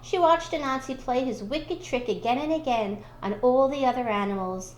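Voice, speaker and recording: A woman reading a children's storybook aloud in expressive narration. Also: high voice, moderate pace, echoey room, slight background noise, poor audio. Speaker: school storybook reader.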